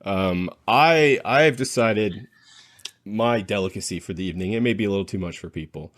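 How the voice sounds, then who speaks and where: A man with a very low voice hosts a playful panel chat. He talks casually and lingers over his descriptions with relish, like a co-host among friends. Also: moderate pace, a bit bright.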